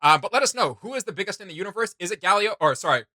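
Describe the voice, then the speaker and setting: Bright, forceful man chatting casually. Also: high voice, lively intonation, rapid-fire, no echo, studio quality. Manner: rambling, enthusiastic, playful sound words. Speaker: reaction video host. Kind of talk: casual fan chat.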